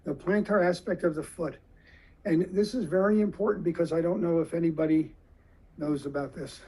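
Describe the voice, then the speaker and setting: A man giving a lecture to surgeons, explaining slowly and deliberately with questions to the audience. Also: on the high side, steady tone, a little mellow, breathy edge, low-quality recording.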